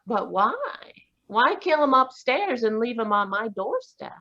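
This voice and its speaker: A defiant young woman suspect played by a woman in a vintage radio mystery. She fires back quick, indignant retorts in a husky voice that swoops widely in pitch. The audio is dull and lo-fi. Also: very animated, heavily acted. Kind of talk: 1940s radio acting.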